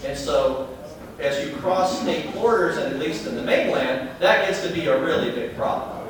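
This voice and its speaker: A man's voice: on the high side, normal speed. A man with a Southern US accent gives a chatty talk to a meeting, often putting questions to the audience. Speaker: advocacy group speaker. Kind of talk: informal speech to a meeting. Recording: echoey room, distant mic, crowd.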